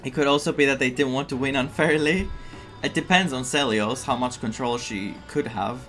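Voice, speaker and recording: A man's voice: mid-range voice, normal speed, a bit bright. Gushing male gamer commentating casually. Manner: gushing, reactive. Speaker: streamer playing a game. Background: game music.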